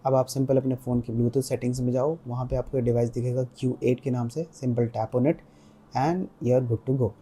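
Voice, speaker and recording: A man's voice: mid-range voice, steady tone, moderate pace, mellow, slightly rough. A man with an Indian accent giving a casual product review, explaining step by step and mixing Hindi with English. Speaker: motorcycle gear reviewer.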